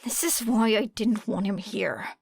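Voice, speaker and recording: A woman's voice: medium pitch, unhurried, creaky, a little mellow, breathy edge, dry recording, studio quality. A woman voicing a prickly, defiant young woman in an audiobook, curt, scoffing and sarcastic. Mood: scoffing, irritated. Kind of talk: audiobook character voice.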